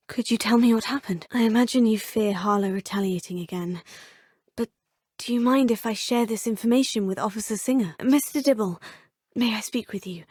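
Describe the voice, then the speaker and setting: A woman's voice: a little high, a little mellow, slightly rough, no room sound, studio quality. An actress voicing a polite, determined young female student witch in a video game, speaking composed formal English. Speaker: player character voice. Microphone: studio microphone.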